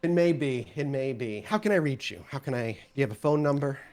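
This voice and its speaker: A man's voice: medium pitch, animated, fairly quick, darkish tone, voice pushed up, lightly acted. A man playing a polite, earnest and devout street preacher in a tabletop game, speaking hesitantly. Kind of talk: in-character tabletop roleplay.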